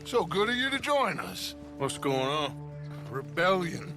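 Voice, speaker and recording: A man's voice: on the high side, very animated, unhurried, over music. An actor playing a brash gang leader in a video game, grandiose and goading in his delivery. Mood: grandly persuasive. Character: brash, theatrical American outlaw gang leader, persuasive and defiant. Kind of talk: video game cutscene acting.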